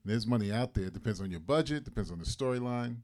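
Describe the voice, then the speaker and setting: A man's voice: on the low side, expressive, fast talker, a little mellow. A man on a panel answering a question on documentaries, emphatic and repeating his key points. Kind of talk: panel discussion.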